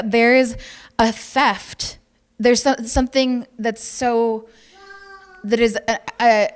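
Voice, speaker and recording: A woman talking casually on a panel, chatty and wry, with lots of "like". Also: fairly high pitch. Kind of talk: casual opinionated talk.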